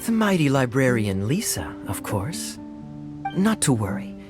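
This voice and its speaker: A man playing a suave, smug young knight in a video game, smooth-talking, sardonic and playful. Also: medium pitch, sing-song, unhurried. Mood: smooth, wry. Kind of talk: animated video game voice acting. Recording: music behind the voice. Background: game music.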